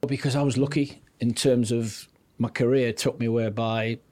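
A man's voice: mid-range voice, fairly even intonation, moderate pace, darkish tone, dry recording. An older man from north-east England, a guest on a studio podcast, tells long stories in a loose, chatty way. He often restarts his sentences and slips into the voices of people he is quoting. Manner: chatty, rambling, restarts sentences, acts out quotes. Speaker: podcast guest, former goalkeeping coach. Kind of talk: casual anecdotal storytelling.

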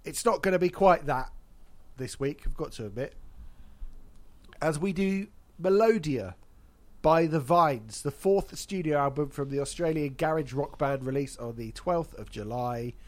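A man's voice: fairly high pitch, measured pace, a little mellow. Congested man hosting a chatty podcast. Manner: wry, chatty, laughs at own jokes. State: congested from hay fever. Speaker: podcast host. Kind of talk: casual podcast chat.

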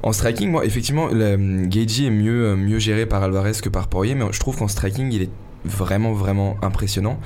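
A man with a fairly low voice gives opinions in a relaxed sports panel. His talk is slangy and repetitive as he reasons, with faint ambient noise behind him. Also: brisk. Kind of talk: casual sports discussion.

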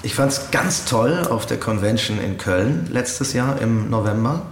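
A man giving reflective interview answers as a voice actor, halting and hesitant as he searches for words. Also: mid-range voice, expressive. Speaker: interview guest, audio drama voice actor. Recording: roomy echo with faint background noise.

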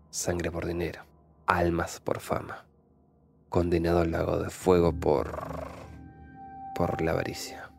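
A man's voice: low-pitched, vocal fry, a little mellow. A man playing a doomed, guilt-ridden man in a horror audio drama, giving his grave, solemn final confession. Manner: grave, solemn, literary phrasing.

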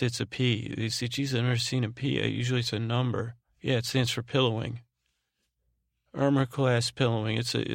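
Creaky-voiced man rambling soothingly. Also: mid-range voice, no echo, studio quality. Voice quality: soothing, soft-spoken drone. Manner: meandering, halting, repeats and trails off. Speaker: host of a sleep podcast. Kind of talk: rambling bedtime monologue meant to lull.

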